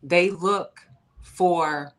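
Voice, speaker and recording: Low-pitched New woman host, emphatic and slangy, casual interview. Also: extremely slow, a bit bright. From New York. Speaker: podcast host.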